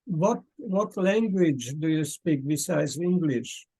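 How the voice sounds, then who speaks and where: A man hosting a video call, his voice sitting a touch high for a man. He speaks very slowly and thoughtfully, hesitating often and filling the gaps with small sounds as he gathers his thoughts. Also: expressive, dark tone, breathy edge, low-quality recording. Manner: slow and thoughtful, with many pauses and fillers. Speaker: host. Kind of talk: casual talk at an online meeting.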